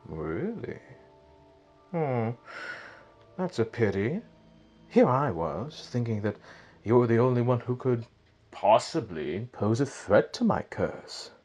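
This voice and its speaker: An actor playing a wicked, mocking sorcerer villain in an audio drama, with a taunting, theatrical delivery. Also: male voice, medium pitch, sing-song, a little mellow, slightly rough, faint music behind, full character voice. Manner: taunting, theatrical, drawn-out delivery with sinister chuckles. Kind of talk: fan audio drama acting.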